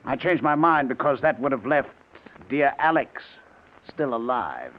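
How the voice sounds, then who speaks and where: Vengeful, sardonic husband, male actor, low and highly inflected, vintage radio thriller on a tinny recording. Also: expressive, a little slow, lightly acted. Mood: cold menace. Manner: sardonic, theatrically menacing. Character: scheming, vengeful husband feigning madness, coldly triumphant. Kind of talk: old-time radio mystery drama acting.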